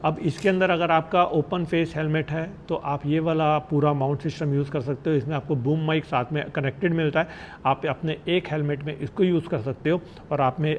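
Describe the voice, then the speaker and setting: A man's voice: on the high side, a little mellow, a little hiss or hum. A man giving a casual product review as a vlogger, in a conversational way with English words mixed in. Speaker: motorcycle vlogger reviewing gear.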